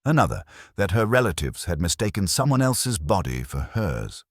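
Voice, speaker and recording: A man reading scripted literary mystery narration in a measured, wry storytelling manner. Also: deep voice, big pitch swings, husky, no echo, clean studio sound. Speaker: narrator of a mystery audio drama.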